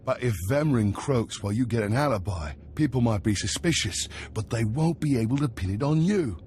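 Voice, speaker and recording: A man plays a dim sidekick among Sith trainees in a game cutscene. His medium-pitched voice is plain-spoken and eager, over faint ambience. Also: animated, fairly quick, darkish tone, slight background noise, close to an everyday voice. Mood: scheming. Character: dim, eager male thug acolyte sidekick. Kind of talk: video game cutscene acting.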